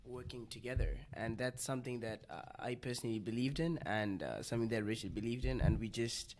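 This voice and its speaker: A man's voice: medium pitch, animated, a little fast, darkish tone, slight background noise. A young man from Zimbabwe, a guest in a casual chat, talking loosely with many fillers and restarts. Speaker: guest, clothing brand co-founder. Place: coffee shop.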